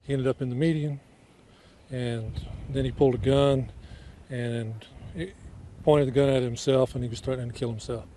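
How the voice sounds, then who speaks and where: A man's voice: mid-range voice, fairly even intonation, moderate pace, mellow. A man giving an unscripted interview statement as an official, recorded in the field. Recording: noisy ambient field interview audio.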